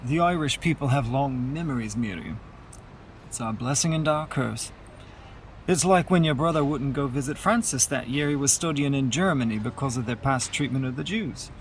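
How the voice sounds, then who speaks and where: A man's voice: mid-range voice, normal speed, slight background noise, lightly acted. A man playing a wry, stubborn mourner. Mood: sardonic, confiding. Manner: dry, teasing, sardonic. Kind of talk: radio play dialogue.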